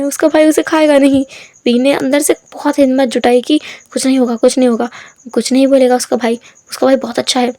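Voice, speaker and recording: Hoarse high-voiced woman narrating a story. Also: dark tone, dry recording. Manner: chatty, rambling, mixes in English words. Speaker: story explainer, channel host.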